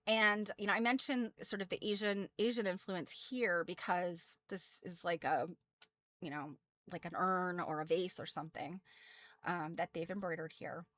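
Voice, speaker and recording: A woman's voice: fairly low pitch, fairly even intonation, normal speed, a bit bright, no room sound. An American woman presenting quilt history casually as a host, chatty and self-deprecating, wandering off into asides.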